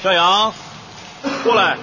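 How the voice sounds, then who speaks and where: An actor playing a caring but pushy young male teacher in a radio drama, brisk, blunt and urging. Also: high voice, fairly even intonation, highs cut off, noisy background. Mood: insistent.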